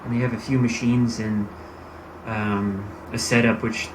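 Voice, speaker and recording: A low-pitched American man narrates a role-play farming game, musing and thinking aloud as he plays. A loud vehicle engine drones behind his voice throughout. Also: little pitch movement, measured pace, background noise. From United States. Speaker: gaming channel host. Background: game engine and vehicle sounds.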